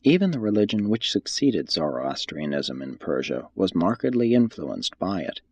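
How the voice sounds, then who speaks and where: Low, slightly husky male audiobook narrator. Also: sing-song, normal speed, darkish tone, no room sound. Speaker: reader of a religious text.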